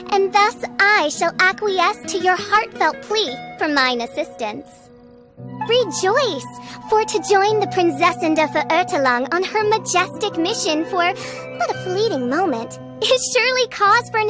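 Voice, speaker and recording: A woman's voice: very high voice, lively intonation, normal speed. A woman playing a haughty young self-styled princess in a video game, declaiming pompously in archaic, theatrical speech. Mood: gracious, pompous. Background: music.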